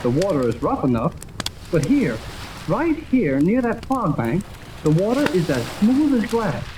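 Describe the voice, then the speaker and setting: An eager young American man with swooping, animated pitch and boyish insistence. He performs in the earnest manner of a 1930s adventure serial, on an old recording full of crackle and hiss. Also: unhurried. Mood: urgent. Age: young adult. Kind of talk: 1930s radio serial acting.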